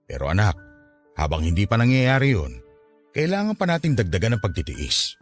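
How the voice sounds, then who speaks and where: Low-voiced, expressive, patient father. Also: brisk, faint music behind. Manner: gentle, consoling, earnest. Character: patient, devout, poor middle-aged father. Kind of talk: Tagalog radio drama acting.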